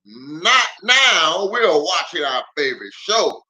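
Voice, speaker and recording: Man playing an animated little storybook pig. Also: a little high, slow, exaggerated voice. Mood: wary, dismayed. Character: friendly, easygoing storybook little pigs.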